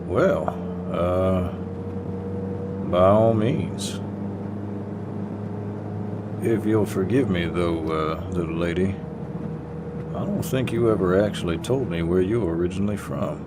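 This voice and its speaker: Deep, slow-drawling Southern man, acted. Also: background noise. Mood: courteous, obliging, then courteous, surprised. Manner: slow, gentlemanly drawl with folksy phrasing. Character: easygoing, chivalrous Southern redneck boyfriend, teasing and devoted. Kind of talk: intimate boyfriend audio roleplay, acted to the listener.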